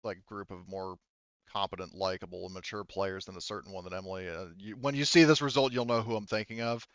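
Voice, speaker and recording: Smooth, low-voiced man running a game. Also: fairly even intonation, fast, fairly bright tone, dry recording, lo-fi sound. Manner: informal, swearing. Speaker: game master. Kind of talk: running a tabletop roleplaying game, casual explaining.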